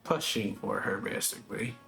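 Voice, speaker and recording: A man's voice: lowish voice, moderate pace, vocal fry, echoey room, a loud crowd. A man giving casual reaction commentary as the host of a video game let's play.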